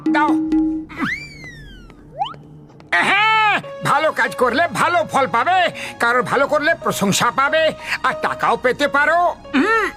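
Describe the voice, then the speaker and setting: A man playing a naive, eager and helpful bumbler in an animated cartoon dub, speaking with earnest, exclamatory emphasis. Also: extremely high pitch, moderate pace, over music.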